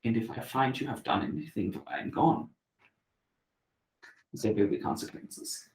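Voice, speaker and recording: A man voicing a wary male werewolf guard with a German accent, speaking in halting phrases in an echoey room. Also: medium pitch, lively intonation, vocal fry, a little mellow. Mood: stern.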